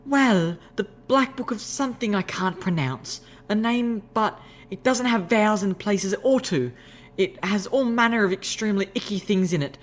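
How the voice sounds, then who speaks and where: A woman's voice: mid-range voice, moderate pace, voice pushed up, lightly acted. A woman voicing a graceful, refined unicorn mare who speaks in a prim, ladylike way. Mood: confiding. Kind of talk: audiobook reading of fan fiction.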